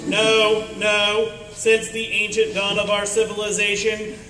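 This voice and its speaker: Man acting a slow, high, bright robot servant. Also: completely flat delivery. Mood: solemn. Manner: slow, halting, declamatory delivery. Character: weary, literal-minded mechanical servant robot longing for destruction. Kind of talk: comic radio play acting before an audience. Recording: roomy distant stage recording, faint noise.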